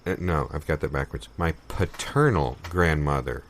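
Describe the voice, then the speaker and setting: A man playing an earnest, muddled fellow in improvised comedy dialogue, deadpan, hesitant and pedantic. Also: very deep voice, moderate pace, a little hiss or hum. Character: earnest, muddled man recounting absurd family history.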